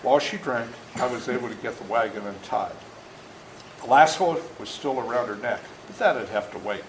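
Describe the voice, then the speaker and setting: A man in his seventies reading a story aloud to children in a folksy, interactive, animated way, as an author before a young audience. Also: medium pitch, moderate pace. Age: 70s. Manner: folksy, interactive, acts out moments. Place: indoor room before a school audience. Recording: roomy echo, faint background noise.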